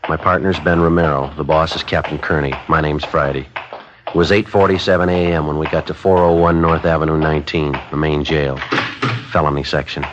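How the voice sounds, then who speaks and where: A clipped, matter-of-fact police detective narrates a 1950s radio play. His voice sits very low. The old broadcast sounds muffled, with a steady hiss behind it. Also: brisk. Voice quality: flat, clipped delivery. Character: terse male police detective sergeant narrating. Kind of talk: 1950s radio drama acting.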